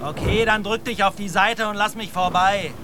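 An actor playing a brash, fearless ship's photographer, brisk and commanding, in a German radio drama. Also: male voice, high voice, fairly even intonation, natural voice. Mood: brisk, casual. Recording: loud wind and sea noise outdoors. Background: ship at sea ambience.

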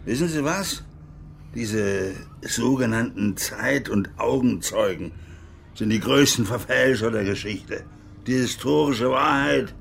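Older former army officer, low gravelly voice, husky, bitter, cynical, coarse, radio drama. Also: male voice, slight background noise. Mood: indignant, scornful. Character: embittered, gruff older male former East German army officer. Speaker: interviewee recounting his past. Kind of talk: naturalistic radio drama monologue.

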